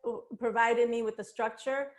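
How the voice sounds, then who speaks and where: A woman's voice: on the high side, fairly even intonation, normal speed. A woman speaking as an author on a panel, reflecting on her work in loose, informal conversation.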